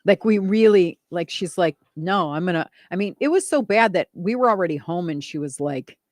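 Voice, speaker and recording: A radio co-host in casual on-air chat, talking back and forth quickly with many interjections. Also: mid-range voice, animated, darkish tone, no room sound, clean studio sound.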